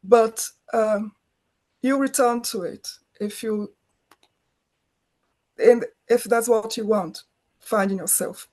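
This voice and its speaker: A woman's voice: on the high side, slow, long pauses, darkish tone. Woman, middle-aged, Brazilian Portuguese accent, searching speech, restarts phrases, interview guest. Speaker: guest filmmaker. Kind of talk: conversational interview.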